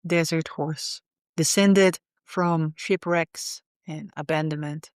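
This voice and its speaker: A woman leading a guided meditation in a soothing, unhurried way, often pausing in the middle of phrases. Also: on the low side, smooth, no room sound, clean studio sound.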